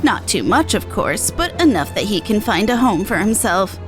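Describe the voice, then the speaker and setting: A woman's voice: mid-range voice, sing-song, moderate pace, over music, natural voice. A woman voicing a fretful, genteel elderly widow from a game, reading the dialogue with prim, old-fashioned phrasing. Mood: wistful, worried.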